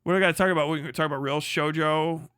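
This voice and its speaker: A young man runs a casual home livestream, reacting to chat in a slightly high voice. He swears freely, circles back on himself and restarts his sentences constantly. The sound is dry and close, with no room around it. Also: fairly even intonation, very fast, a bit bright, clean tone, dry recording. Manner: rambling and profane, with frequent restarts and laughter. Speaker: livestream host.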